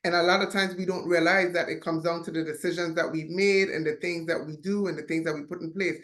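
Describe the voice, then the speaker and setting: A woman hosting a podcast, speaking in an earnest, measured way as she frames her questions around faith. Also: on the low side, steady tone, fairly bright tone. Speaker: podcast host. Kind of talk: interview questions.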